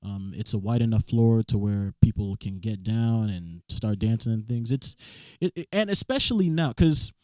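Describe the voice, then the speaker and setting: A young man with a raspy voice, a musician chatting as a guest, often restarting his sentences. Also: fairly low pitch, expressive, moderate pace, few pauses, a little mellow, dry recording. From Southern California. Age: young adult. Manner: rambling, stammers on restarts. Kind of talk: casual interview chat.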